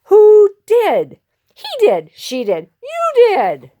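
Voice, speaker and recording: A woman voicing excitable, squabbling little prairie dogs in short shouted exclamations for a children's storybook. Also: high-pitched, extremely slow, pitched above the performer's own voice, full character voice. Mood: accusing. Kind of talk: animated children's storybook character voice.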